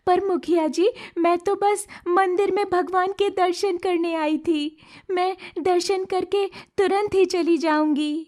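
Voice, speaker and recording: Woman voicing plaintive outcast village girl, high-pitched. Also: fairly even intonation, a little slow, darkish tone. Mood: pleading. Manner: plaintive, talking to herself or pleading. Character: lonely outcast village girl, wistful and meek. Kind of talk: Hindi cartoon moral story dialogue.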